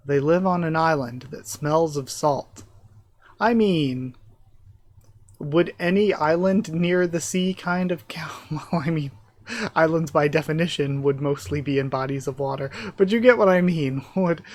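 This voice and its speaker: Higher-voiced man thinking aloud. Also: a little mellow. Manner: thinks aloud, speculative, chuckling asides. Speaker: YouTube reaction host. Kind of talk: live reaction, reading comic dialogue aloud with casual commentary.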